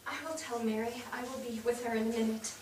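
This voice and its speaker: A woman playing a burdened, firm nineteenth-century wife and mother in a staged reading, speaking in a measured way. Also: on the high side, little pitch movement, lightly acted. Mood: measured, guarded. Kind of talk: staged reading of a period drama. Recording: echoey theatre, distant mic, faint noise.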